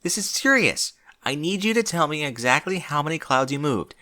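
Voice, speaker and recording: A man's voice: a little high, expressive, moderate pace, bright, forceful tone, pitched above the performer's own voice. A man voicing an earnest, bossy young female unicorn pony with an urgent, commanding manner, in a story reading. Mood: stern.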